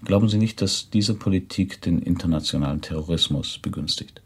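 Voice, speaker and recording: A man's voice: low voice, fairly quick, breathy edge. An actor playing a polite, persistent reporter in a German radio play, formal and courteous as he probes.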